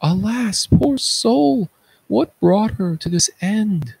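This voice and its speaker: A man's voice: high voice, lively intonation, takes it slowly, gravelly, darkish tone, breathy edge, dry recording, voice pushed up, full character voice. A man voicing an anguished, pitying Greek chorus member, lamenting in a declamatory tragedy reading.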